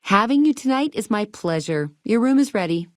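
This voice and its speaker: A woman's voice: medium pitch, animated, normal speed, no room sound, studio quality, close to an everyday voice. A woman playing a perky, eager and quirky hotel keeper in a video game, chatty and full of chirpy salesmanship and playful old-fashioned slang. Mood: proud, guiding. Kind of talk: video game dialogue lines.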